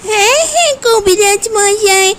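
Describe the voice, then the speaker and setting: A woman voicing an eager little girl in a children's cartoon, with a drawn-out, whiny, pleading delivery. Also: very high voice, heavy background noise. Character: eager little girl who adores mangoes. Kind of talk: children's cartoon story voice acting. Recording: loud background music.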